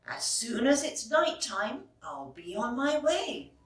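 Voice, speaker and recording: A woman's voice: on the high side, very animated, takes it slowly, roomy sound, distant mic. A woman voicing a small, eager, polite tooth fairy in a children's story, full of exclamations.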